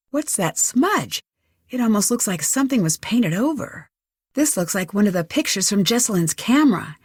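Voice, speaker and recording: A woman's voice: mid-range voice, husky, no echo, clean studio sound. A young woman playing a curious, plucky amateur detective in an adventure video game, thinking aloud to herself. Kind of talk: scripted adventure game voice lines.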